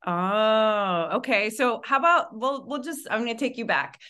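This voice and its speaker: A woman's voice: a little high, expressive, moderate pace, sharp tone. A woman hosting a podcast and interviewing a guest. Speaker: podcast host.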